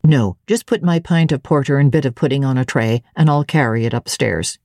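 A woman reading a storybook in the part of a middle-aged servant woman, stolid and secretive, speaking in a demure, measured, unruffled way. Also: rumbling low, mellow, no room sound, voice pushed down. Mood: stolid, composed. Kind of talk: dramatic audiobook reading.

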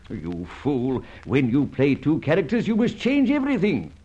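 A debonair rogue of an old radio adventure serial, teasing and self-assured. His slightly husky voice swoops dramatically through polite, drawling taunts. The recording is muffled and vintage. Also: on the high side, huge pitch swings, normal speed, warm tone, exaggerated voice. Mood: triumphant, taunting. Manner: suave, teasing, theatrically polite, hesitant drawl.

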